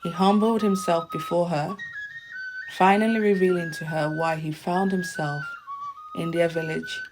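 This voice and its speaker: A woman reading a scripted folktale as a storyteller, in a measured storybook delivery. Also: fairly low pitch, fairly even intonation, music in the background, rough recording.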